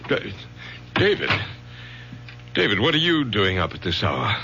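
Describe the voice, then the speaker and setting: Deep, animated, stern weary father. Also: a little slow, vocal fry, lo-fi sound, heavily acted. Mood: weary, irritable. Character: weary, stern middle-aged father, protective and evasive. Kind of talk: 1970s radio drama acting. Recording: old radio broadcast, faint hiss and hum.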